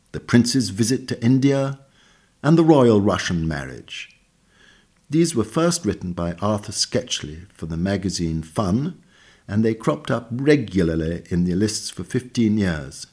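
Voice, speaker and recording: Male narrator for a literary magazine essay: low register, noticeably husky, measured and articulate, scripted delivery. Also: a little mellow.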